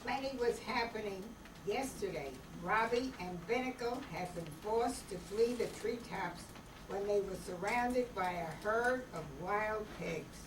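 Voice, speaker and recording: A woman's voice: mid-range voice, measured pace. Far-off woman narrator, noisy echo. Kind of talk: scripted radio-serial narration. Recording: echoey, distant mic, clear noise.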